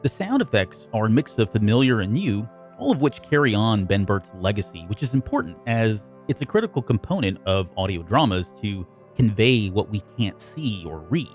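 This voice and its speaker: A man hosting a podcast, narrating a scripted review with polished, measured phrasing. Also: lowish voice, big pitch swings, faint music behind.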